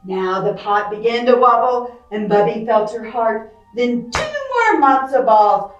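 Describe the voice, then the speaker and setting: A woman reading a picture book aloud to children, expressive and playful, with chatty asides and questions to her young listeners. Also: fairly high pitch, unhurried, reverberant, distant mic, highs cut off, soft background music. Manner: expressive, playful, acting out sound effects. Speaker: library storytime reader.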